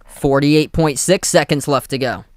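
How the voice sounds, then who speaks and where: A man's voice: on the high side, a little slow, dry recording, clean studio sound. Boston-accented man calling a game. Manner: steady running commentary in short clipped phrases. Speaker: live play-by-play streamer. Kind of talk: amateur play-by-play commentary.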